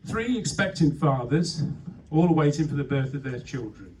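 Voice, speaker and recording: A male Welsh comedian tells stories live, often interrupting himself with wry asides. His voice is a little high, heard at some distance from the mic with noise around it. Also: lively intonation, normal speed, warm tone. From Wales. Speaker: stand-up comedian. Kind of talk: live comic storytelling. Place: live venue before an audience. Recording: distant, noisy live room recording.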